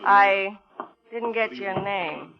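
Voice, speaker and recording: A woman's voice: mid-range voice, drawn-out words, vocal fry. A woman playing a wary, hard-boiled young waitress in a radio suspense drama, clipped and guarded. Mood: brusque, wary. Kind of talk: 1950s radio suspense acting. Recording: dull old radio recording.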